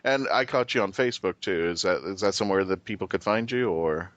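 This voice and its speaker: A man's voice: low voice, brisk, fairly bright tone, no room sound, lo-fi sound. A man hosting a casual podcast interview in a chatty, jokey way.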